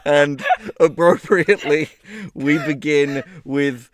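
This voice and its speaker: A man's voice: a little high, steady tone, slow pace, a crowd in the background. A man co-hosting a casual comedy podcast, joking and often laughing as he talks. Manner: jokey, laughs while talking. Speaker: co-host.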